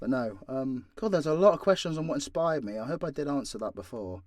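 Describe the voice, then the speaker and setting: British man with a very animated pitch. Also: quick pace, no room sound, clean studio sound. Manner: frequent swearing, blunt and joking. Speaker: voice actor and content creator answering fan questions. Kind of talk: casual unscripted Q&A.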